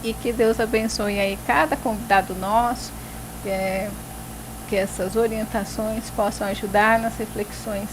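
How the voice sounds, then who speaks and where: Woman, talk show host, mid-pitched voice, reflective, lots of "né", clear traffic noise behind. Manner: reflective, filling pauses with "né".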